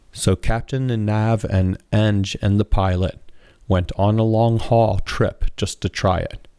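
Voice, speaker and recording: Low-voiced man reciting rhymed verse. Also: a little mellow, no echo, clean studio sound. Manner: rhythmic, rhyme-driven delivery. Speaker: author and poet reading own work. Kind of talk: rhymed spoken-word verse reading.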